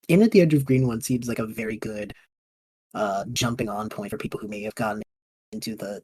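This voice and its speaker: A man's voice: lowish voice, dark tone, breathy edge. A man hosting a podcast, chatting casually in an encouraging, enthusiastic way. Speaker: podcast host. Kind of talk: casual interview, wrapping up the show.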